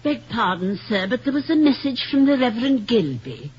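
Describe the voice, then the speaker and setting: An actress in an old-time radio comedy playing a plain-spoken, eager housemaid with a deferential, folksy way of talking. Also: female voice, fairly high pitch, sing-song, normal speed, a little mellow, breathy edge. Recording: muffled, very hissy old radio recording.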